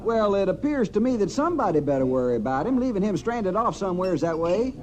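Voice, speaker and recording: A man's voice: high voice, big pitch swings, quick pace, darkish tone. An actor playing an agitated, nosy frontier townsman with a rural American Western accent and a folksy, insistent manner in an old-time radio drama. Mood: agitated, rallying. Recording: dull old radio recording, crowd behind.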